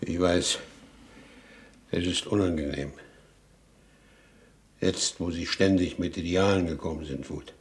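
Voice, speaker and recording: A curt war minister speaks in a low voice with brusque impatience. The acting is that of a 1950s German radio play. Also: male voice, fairly even intonation, measured pace, pauses often. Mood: impatient. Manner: curt, dismissive.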